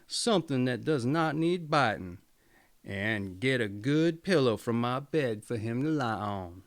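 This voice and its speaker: A man's voice: medium pitch, animated, measured pace, dry recording, voice pushed down. A man voicing a kindly, commanding master in a storybook tale, grateful and decisive in manner.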